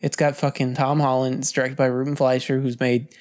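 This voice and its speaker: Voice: medium pitch, level tone, fairly quick, a little mellow. A podcast co-host chatting casually about movie news, swearing freely and often.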